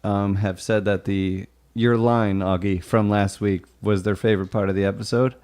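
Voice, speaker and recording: A man's voice: lowish voice, steady tone, moderate pace, darkish tone. A man co-hosting a podcast with casual banter and crude, teasing humour. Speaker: podcast co-host.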